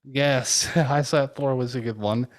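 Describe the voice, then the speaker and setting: A man co-hosting a conversational interview, speaking in a halting, tentative way. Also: medium pitch, steady tone. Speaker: podcast co-host interviewing a guest.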